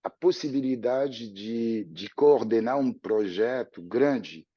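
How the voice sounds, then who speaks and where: A man's voice: medium pitch, slow pace, breathy edge, no echo, dull audio. A French man in his fifties, a guest telling his story in a reflective, conversational way with frequent restarts. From France. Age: 50s. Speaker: interview guest, professor. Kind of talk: relaxed conversational interview.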